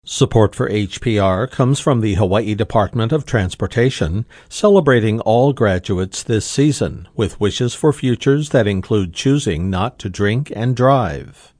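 A man reading scripted sponsor announcements for radio in a measured, formal way. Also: on the low side, expressive, darkish tone, no room sound, clean studio sound.

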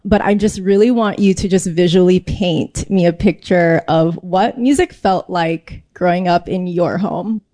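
A woman interviewer with a soft-edged, mellow voice that sits a little low. She is chatty and effusive, phrasing her questions in an informal, conversational way. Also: measured pace, few pauses. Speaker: interviewer, host.

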